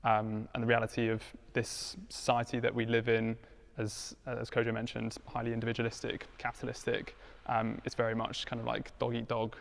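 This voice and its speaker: A man's voice: medium pitch, monotone, a little fast, creaky. A man in his twenties, thoughtful and hesitant, speaking in a casual panel discussion. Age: 20s. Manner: thoughtful, hesitant, many fillers. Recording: hall reverb.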